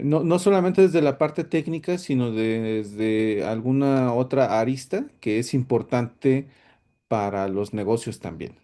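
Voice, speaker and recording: A man's voice: medium pitch, mellow. A man hosting an online tech workshop, hesitant, with many fillers and restarts. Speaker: solutions architect, workshop host. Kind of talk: webinar presentation.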